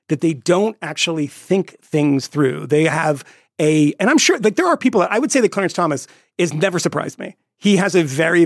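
Gravelly-voiced man riffing on politics. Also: on the high side, dry recording, clean studio sound. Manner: quick, digressive, jokey, slips into put-on voices. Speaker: podcast co-host. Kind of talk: casual political chat.

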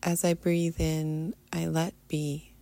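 Female meditation guide, very even and low, soothing and unhurried, drifting toward a whisper. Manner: soothing, unhurried, often trailing into breathy near-whisper. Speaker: guided meditation teacher, podcast host. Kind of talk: guided meditation, soft instruction.

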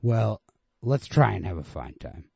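An insistent, defensive man coaxing his lover as read by a man in a storybook. His mellow voice is persuasive and dismissive, pushed a little higher than the reader's own talk. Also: sing-song, measured pace, creaky, husky, dry recording, heavily acted. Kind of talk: storybook read-aloud dialogue.

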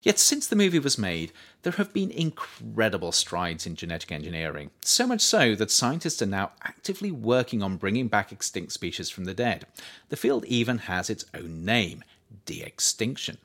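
A man reading his own nonfiction book aloud as an audiobook, in a measured, expressive way. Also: lowish voice. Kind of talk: audiobook narration.

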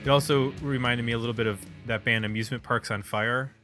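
A man's voice: mid-range voice, steady tone, fast, bright, forceful tone. A man talking casually and analytically about music as a podcast host, feeling his way through his phrasing. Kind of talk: casual album discussion.

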